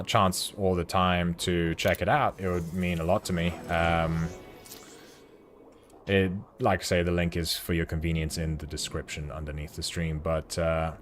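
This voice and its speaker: Deep-voiced British man chatting on stream. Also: slight background noise. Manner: rambling, talks chattily to the chat. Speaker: game streamer. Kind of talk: casual livestream chat while playing.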